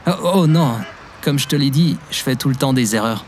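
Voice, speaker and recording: An actor playing an easygoing, forgetful, cheerful young barista in an audio drama, with a casual, good-natured manner. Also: male voice, medium pitch, normal speed, a little mellow, slight background noise, natural voice. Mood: cheerful, friendly. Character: Timo, easygoing, forgetful, cheerful young male barista telling his past. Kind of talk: audio drama acting and informal storytelling.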